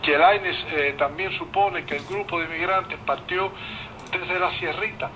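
A man's voice: sits high, steady tone, moderate pace, echoey room, very noisy. A man narrating an account on a broadcast, heard through a shortwave radio. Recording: shortwave radio with heavy background babble.

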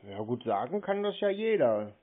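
A man's voice: medium pitch, very animated, moderate pace. A man in a casual phone conversation, sounding hesitant, noncommittal and skeptical as a customer. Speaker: scambaiter posing as a customer. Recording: noisy narrowband phone call.

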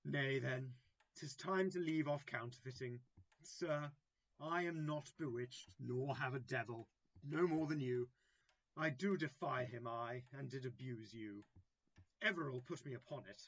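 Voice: medium pitch, measured pace, muffled recording. An amateur actor playing a foolish, bitter, petulant husband, blunt and declaiming, in an early modern comedy audio drama. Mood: defiant.

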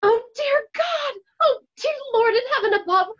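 A woman's voice: very high voice, lively intonation, moderate pace, a bit bright. An actress playing a frantic, protective, pious frontier mother in a Western radio play. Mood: panicked, urgent. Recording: dull home video call audio.

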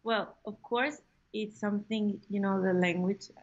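A young woman from Mexico with a Spanish accent, answering interview questions over a video call in a halting way with many fillers. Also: medium pitch, fairly even intonation, lo-fi sound. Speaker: guest. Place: room at home.

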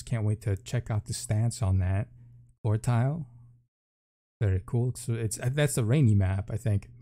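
A man's voice: medium pitch, warm tone. A man giving casual live reaction commentary as a gaming video creator, thinking aloud informally as he reads along. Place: room at home.

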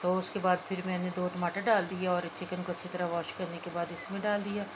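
Woman, home cooking-channel host, low and even delivery, phone recording with steady kitchen noise. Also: fairly quick. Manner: chatty, talks viewers through each step. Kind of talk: casual cooking vlog narration. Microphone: phone camera held over the pot.

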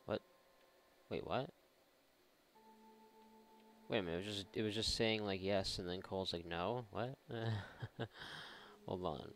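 Low, creaky-voiced man mocking a video game. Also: a little slow, long pauses, fairly bright tone, faint music behind. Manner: sarcastic, mocking, profane. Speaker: gaming video host. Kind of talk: casual let's play commentary.

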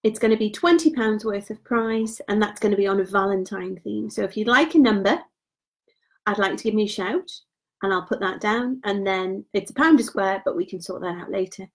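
A woman in her thirties talks casually through gift sets on a live stream, her voice mid-pitched. Her voice is wearing hoarse, and she drops softer at the ends of phrases in an easy, chatty way. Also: fairly quick. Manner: chatty and informal, trails off quietly. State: voice going hoarse. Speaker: beauty product consultant.

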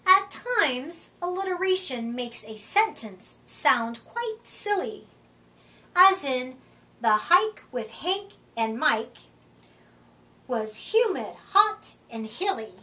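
A middle-aged woman reading a rhyming picture book aloud to children, with deliberate, expressive emphasis, recorded a short way from the mic. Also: sits high. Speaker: children's storytime reader. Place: indoor room, seated before a curtain. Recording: voice distant from the microphone.

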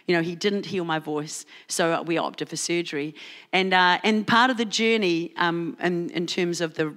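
A woman pastor with a New Zealand accent, preaching at a church service and telling a personal story with lively asides. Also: fairly low pitch. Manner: storytelling, animated asides with laughs. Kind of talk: sermon.

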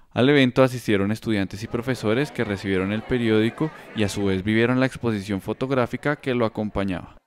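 A man narrates a student news bulletin in an even, measured tone. His voice sits in a middle range. It is a studio voice-over. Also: mid-range voice. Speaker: news anchor.

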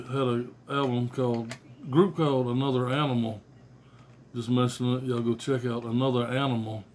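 Blunt, cussing middle-aged man, flat-voiced. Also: mid-range voice, slight background noise. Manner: blunt, profane, offhand. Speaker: music collector vlogger. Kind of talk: casual rambling show-and-tell. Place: small bedroom indoors. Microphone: phone camera at arm's length.

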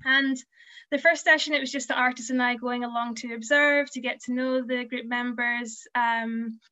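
A woman's voice: a little high, steady tone, normal speed, bright, forceful tone. A young woman giving a conference presentation, earnest and explanatory with frequent ums, her voice a little worn. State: losing her voice. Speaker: museum community learning officer. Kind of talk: conference presentation over slides. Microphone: video call webcam. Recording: dull lo-fi video call audio.

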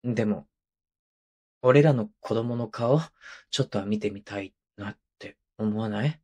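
A man's voice: on the low side, unhurried, a little mellow. Playful, clingy young husband acted by a man. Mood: earnest, tender. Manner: coaxing, teasing, casual and hesitant. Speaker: voice actor. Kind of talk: intimate ASMR situation voice drama. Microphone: close microphone, binaural.

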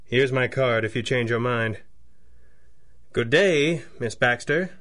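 A wealthy young gentleman of the 1930s in a radio serial. He speaks at a middle pitch, formally and courteously. His wit is dry and his air self-assured. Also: takes it slowly. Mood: composed, persuasive. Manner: formal, courteous, dryly witty. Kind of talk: 1930s radio drama acting.

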